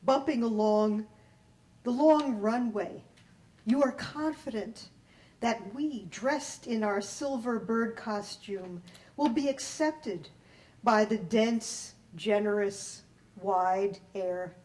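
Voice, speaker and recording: A woman reading poetry at a live event, deliberately, with pauses between phrases. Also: fairly high pitch, darkish tone, low-quality recording.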